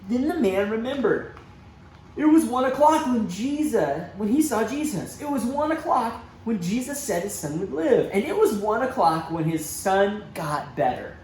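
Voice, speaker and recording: Male children's storyteller, lively and dramatic, roomy echoey sound. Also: high-pitched, moderate pace. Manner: expressive, dramatic emphasis with asides to young listeners. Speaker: church children's storybook reader. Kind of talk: animated read-aloud storytelling for children. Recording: roomy echo, faint background noise.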